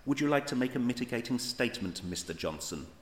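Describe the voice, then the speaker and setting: An actor playing a stern, pompous judge in a futuristic court audio drama, speaking formally and deliberately. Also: male voice, mid-range voice, lively intonation, lightly acted. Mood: stern, accusing. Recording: roomy echoing sound.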